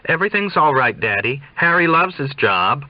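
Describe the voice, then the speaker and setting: Man voicing a brittle, over-bright young mother, pitched up. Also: sing-song, moderate pace, no room sound, dull audio. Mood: defensive, insistent. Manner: insistent, over-bright reassurance. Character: Janice, young mother, tipsy, brittly cheerful, defensive.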